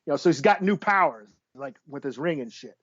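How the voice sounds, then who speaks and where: A man's voice: on the high side, expressive, fairly quick, a bit bright, dry recording. A man hosting a casual comic book podcast, rambling and sweary as he gropes for names. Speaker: podcast host. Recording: slightly dull, dry lo-fi audio.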